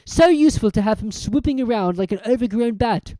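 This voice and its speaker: A woman's voice: on the high side, expressive, brisk, mellow, no echo, voice pushed up, close to an everyday voice, low-quality recording. A woman voicing a cold, sneering male teacher villain in a storybook reading, cool and mocking. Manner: cool, mocking, mock stutter.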